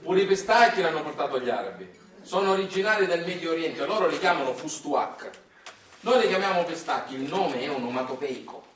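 A man's voice: on the high side, fairly bright tone. A man acting a nostalgic, chatty small-town Sicilian storyteller, speaking in a folksy, conversational stage monologue with dialect and a Sicilian accent. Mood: explanatory, wry. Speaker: stage actor performing a monologue. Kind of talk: colloquial theatrical storytelling monologue. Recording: roomy, echoing, distant mic, faint noise.

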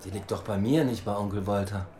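An actor playing a dry, unruffled police officer in a German radio play, laconic and lightly teasing. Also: male voice, lowish voice, brisk, mellow, a little hiss or hum, close to an everyday voice. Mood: dry, knowing.

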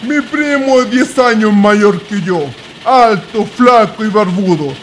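A man's voice: sits high, takes it slowly, slight background noise, rough recording. A Chilean man acting an earnest young intellectual adventurer in a radio drama. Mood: earnest, resolved.

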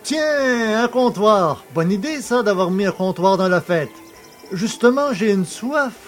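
An actor playing a cheeky, boastful poacher fresh from prison in an audio drama, familiar, mocking and self-pitying. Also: male voice, high voice, expressive, unhurried, soft background music. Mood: pleased, boastful. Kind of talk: French audio drama acting.

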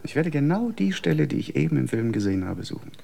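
A man's voice: mid-range voice, sing-song, quick pace, gravelly, warm tone, slight background noise. An actor in a German radio drama playing a dreamy, stubborn young man, the defiant son of a family.

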